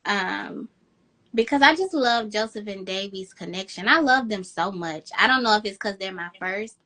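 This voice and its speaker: A woman romance author in an interview, chatty and enthusiastic, with a high-pitched voice. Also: moderate pace, bright, forceful tone. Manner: chatty, enthusiastic, quotes herself with "girl". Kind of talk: casual interview chat.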